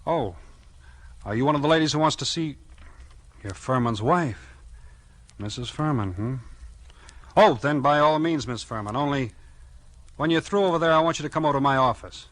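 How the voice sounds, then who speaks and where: An actor playing a blunt small-town American police chief in a 1940s radio mystery, with clipped, slangy, questioning talk. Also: male voice, medium pitch, lively intonation, normal speed, long pauses, a bit bright, dry recording, close to an everyday voice. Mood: brisk. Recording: vintage 1940s radio recording.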